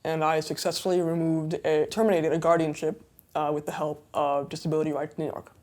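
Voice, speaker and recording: A woman's voice: low voice, little pitch movement, fairly quick, a little mellow. A woman in her twenties giving candid, emphatic personal testimony in an interview. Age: 20s. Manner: candid, emphatic, conversational fillers.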